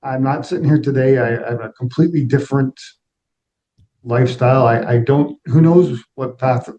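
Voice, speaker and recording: A man's voice: mid-range voice, normal speed, warm tone, lo-fi sound. A middle-aged man answering interview questions in a reflective, plain-spoken, conversational way. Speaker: interview guest, aviation executive.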